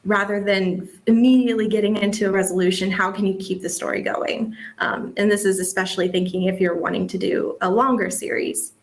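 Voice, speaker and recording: A woman's voice: mid-range voice, fairly even intonation, brisk, hardly any pauses. Casual woman teaching, conversational and informal. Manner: conversational, peppers talk with "like" and "you know". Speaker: editor presenting a workshop. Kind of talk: casual interactive lesson.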